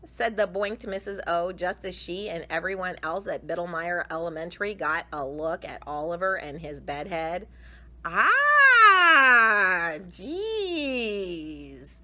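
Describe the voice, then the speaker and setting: A woman reading a picture book aloud to children, expressively, with drawn-out emphasis. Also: lowish voice, steady tone, fairly bright tone. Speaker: children's storyteller.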